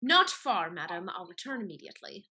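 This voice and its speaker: A woman's voice: on the low side, sing-song, brisk, pushed and bright, breathy edge, full character voice. A woman playing a scheming, catty society lady in a period stage comedy. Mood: curt. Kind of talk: scripted stage comedy acting.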